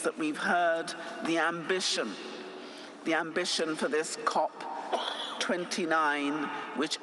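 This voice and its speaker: A man's voice: fairly high pitch, noisy background. A man moderating a summit session, giving formal, courteous closing remarks to the dignitaries. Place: conference hall.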